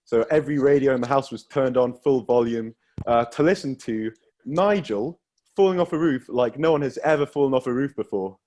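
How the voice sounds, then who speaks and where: A man's voice: mid-range voice, fairly quick, a little mellow. A young man from Wales performing a self-deprecating stand-up set over a video call, often laughing through his own jokes. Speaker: stand-up comedian.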